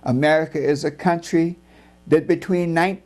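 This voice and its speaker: A man reading his own book as scripted narration, in a grave, deliberate and emphatic oratorical manner. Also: fairly high pitch, steady tone, a little mellow, soft background music.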